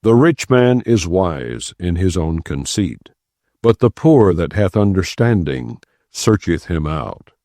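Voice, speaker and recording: Male audiobook narrator for scripture: low voice, slow solemn pacing, varied intonation, close dry sound. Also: sing-song, warm tone, dry recording. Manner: solemn, measured delivery. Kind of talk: non-dramatized scripture reading.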